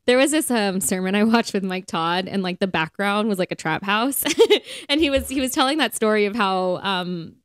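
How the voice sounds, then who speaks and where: A woman's voice: medium pitch, normal speed, hardly any pauses, clear, resonant tone, no echo, studio quality. A woman co-hosting a casual faith podcast, speaking conversationally and acting out the remarks she quotes. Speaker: podcast co-host.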